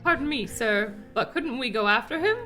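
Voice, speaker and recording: Prim, deferential protocol droid voiced by a woman, higher pitch, fussy and formal, table read over faint music. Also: expressive, normal speed, lightly acted. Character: anxious, polite, deferential male protocol droid. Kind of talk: amateur table read of a film script.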